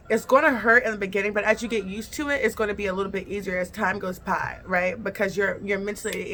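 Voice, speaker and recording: A woman's voice: medium pitch, fairly bright tone, slight background noise. A woman vlogger casually telling a personal story, chatty and repetitive, speaking straight to her viewers. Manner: chatty, repeats phrases, addresses viewers directly.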